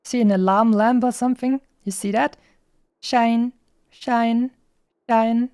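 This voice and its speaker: Mellow-voiced woman chatting on stream. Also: on the high side, steady tone, measured pace, smooth, dry recording, studio quality. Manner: rambling, playful asides. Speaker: game streamer. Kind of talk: casual livestream chat.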